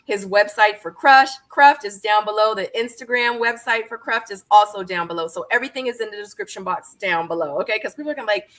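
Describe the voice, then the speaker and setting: Woman in her forties, born in Korea, online show host, bright clear voice, chatty, upbeat. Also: medium pitch, fast talker, hardly any pauses. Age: 40s. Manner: chatty, upbeat, addresses viewers directly. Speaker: YouTube interview host. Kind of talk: casual interview hosting.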